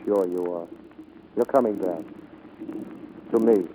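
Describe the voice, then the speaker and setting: Male romantic lead, vintage radio play, mellow muted voice, earnest and unsure, faint hiss. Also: low voice, slow. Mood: tender, reassuring. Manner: hesitant, earnest. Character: devoted, insecure fiancé of a woman pilot. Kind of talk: 1940s radio romantic drama acting. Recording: noisy, muffled vintage radio recording.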